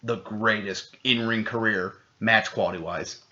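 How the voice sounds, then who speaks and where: A man co-hosting a podcast, chatting casually with a rambling, excitable delivery and plenty of swearing. His voice is bright and forceful but sits fairly low. The audio is dull and lo-fi. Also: a little slow. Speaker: podcast co-host. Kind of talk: casual chat.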